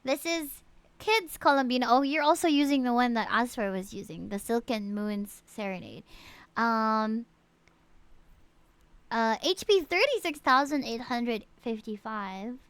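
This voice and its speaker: Female live streamer, bright forward voice, dry sound; casual, chatty, animated game talk aimed at her viewers. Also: fairly high pitch, unhurried, smooth, dry recording. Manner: animated, chatty, frequent exclamations and asides to viewers. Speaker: live streamer reviewing players' game characters. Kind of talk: casual live-stream chat, reading out stats.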